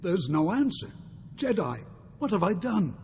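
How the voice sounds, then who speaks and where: A man's voice: high voice, big pitch swings, a little slow, warm tone, exaggerated voice. An actor playing an anxious, well-meaning statesman in a video game, fretful and formal. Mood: distressed. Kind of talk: video game cutscene acting. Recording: long reverb, faint noise.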